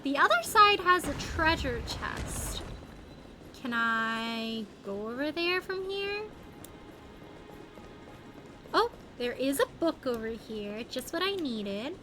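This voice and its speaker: Very high, lively woman streaming a game. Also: slow pace, noisy background. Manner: playful, exclamatory, thinks aloud. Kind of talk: casual gameplay commentary, chatting to viewers. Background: music behind the voice.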